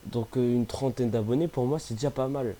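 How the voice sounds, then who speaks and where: A man's voice: mid-range voice, brisk, warm tone, a little hiss or hum. A young man with a cold talking casually about games, hesitant, with many fillers and restarts. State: has a cold, sniffly. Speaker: gaming youtuber. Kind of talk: casual vlog chat.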